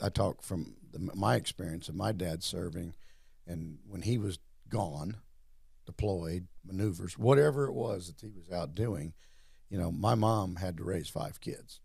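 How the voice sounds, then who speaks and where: Male interview host, low gravelly voice, meandering friendly delivery. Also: normal speed, a little mellow, dry recording, clean studio sound. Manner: rambling, repeats words, friendly. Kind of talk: casual interview.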